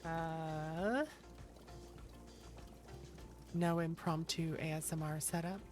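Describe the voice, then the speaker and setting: A woman streamer talks casually to her chat in a slightly low voice. Her pace is very leisurely, and her manner is playful and teasing. Faint music plays behind her. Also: steady tone, unhurried, darkish tone. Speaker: streamer, virtual YouTuber. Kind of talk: casual livestream chat with viewers. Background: background music.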